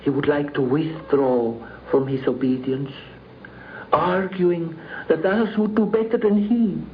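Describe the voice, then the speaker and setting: Formal man, slightly high voice, old recording. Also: steady tone, a little slow. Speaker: interviewed friend giving recollections. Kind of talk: measured spoken reminiscence and letter reading. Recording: muffled, noisy 1950s radio recording.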